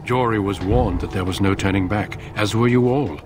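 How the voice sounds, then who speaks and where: Man acting deep-voiced, solemn warrior commander. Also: noisy background, lightly acted. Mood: grave, resolute. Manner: formal, measured, grave. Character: solemn middle-aged male warrior commander, grave and resolute. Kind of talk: video game dramatic acting. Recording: game audio with music behind. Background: music.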